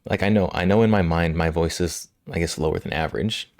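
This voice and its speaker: A male livestream host chatting casually with viewers, slightly hoarse from a cold, relaxed and quick to laugh. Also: sits low, no echo, studio quality. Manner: relaxed, laughs often, answers chat. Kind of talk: casual chat with live chat. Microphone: studio microphone.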